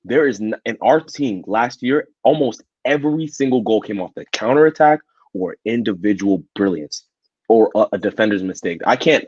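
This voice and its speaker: A man's voice: fairly low pitch, darkish tone, dry recording. A man co-hosting a casual football podcast debate, blunt and emphatic, often repeating words as he drives his point home. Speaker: podcast co-host. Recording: dull, muffled audio.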